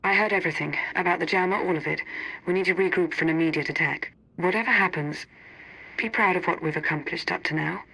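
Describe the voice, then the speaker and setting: Game intelligence strategist: lowish pitch, cool, resolute, precise and formal. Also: female voice, fast, gravelly, pushed and bright, breathy edge, full character voice, poor audio. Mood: composed urgency. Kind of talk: video game dialogue acting.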